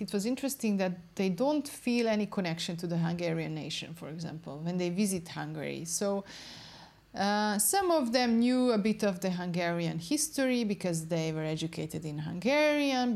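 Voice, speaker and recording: A woman's voice: fairly low pitch, creaky, a little mellow. A young woman researcher in an interview, explaining her study's findings carefully and searching for words as she goes.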